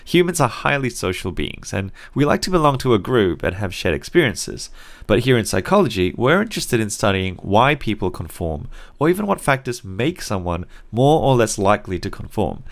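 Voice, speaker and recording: A young man who grew up in Singapore but now speaks with an Australian accent. He narrates a psychology video lesson in a lower, slightly deep voice that moves up and down a lot. The delivery is clear and conversational, with a light wry edge. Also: big pitch swings, normal speed. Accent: Australian English, learned as an adult. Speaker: psychology teacher.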